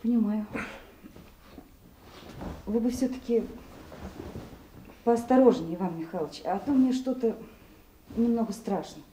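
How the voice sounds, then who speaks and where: A woman's voice: on the high side, a little slow, long pauses, mellow, a little hiss or hum, lightly acted. An actress playing a sensitive, anxious woman shyly in love in a Russian radio play, impulsive and emotional. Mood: worried.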